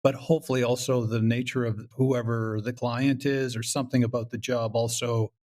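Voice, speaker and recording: A man's voice: mid-range voice, little pitch movement, moderate pace, darkish tone. A man speaking casually as an interview guest, explaining his writing and acting out imagined reactions as he goes. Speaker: guest, comedy writer and show creator.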